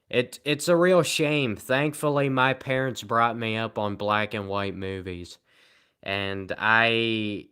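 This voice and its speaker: A tired man live streaming, rambling in a sarcastic, self-mocking way as he answers viewer comments at low energy. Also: sharp tone, smooth.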